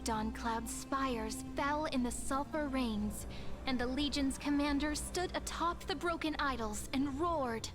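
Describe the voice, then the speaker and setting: An actress playing a solemn storyteller of an epic war legend in a video game, dramatic, stately and declamatory. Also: female voice, high-pitched, measured pace. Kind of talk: scripted game narration, voice acting. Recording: loud game music behind the voice.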